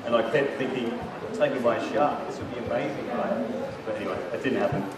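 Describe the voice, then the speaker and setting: A man's voice: mid-range voice, fairly quick. A man speaking as an author on a festival panel, hesitant, with many restarts and fillers. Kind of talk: panel discussion. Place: large hall with a live audience. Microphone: handheld mic, heard from far off. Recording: echoey hall recorded from far off, crowd behind.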